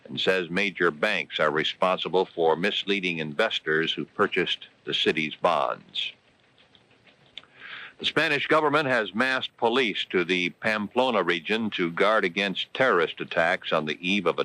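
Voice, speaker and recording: Deep, forceful American male radio newscaster. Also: poor audio. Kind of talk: scripted radio news and weather reading. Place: radio studio.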